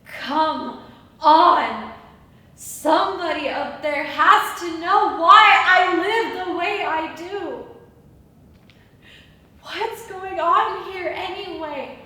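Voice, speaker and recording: Actress doing anguished awestruck woman, dramatic monologue, slow n high, really echoey far off mic. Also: fairly bright tone. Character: anguished, awestruck Bronx woman questioning an angel. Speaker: acting student. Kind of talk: theatrical acted monologue. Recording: echoey room from far off, rumbling noise.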